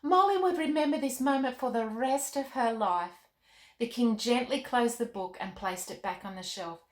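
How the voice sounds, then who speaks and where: A woman reading expressively to children as a storytime host, with a gentle, deliberate delivery. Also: on the high side. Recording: roomy, echoey room.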